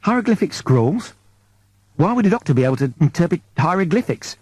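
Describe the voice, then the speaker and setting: A man voicing a clumsy, eager, hapless crewman with an excitable, earnest, pleading manner in a comic science fiction audiobook. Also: sits high, fairly quick, husky, no room sound, pitched above the performer's own voice, heavily acted. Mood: baffled.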